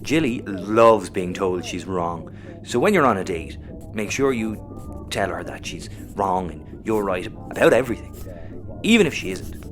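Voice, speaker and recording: A man's voice: sits low, animated, unhurried, faint music behind. A man playing a scheming, sarcastic Irish spacer and protective brother in a sci-fi audio comedy. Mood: scheming, animated.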